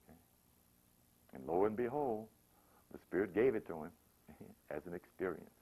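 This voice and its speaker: A man's voice: deep voice, animated, moderate pace, rough recording. A man giving an informal, sermon-like motivational talk, repeating phrases emphatically and acting out examples. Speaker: motivational spiritual speaker.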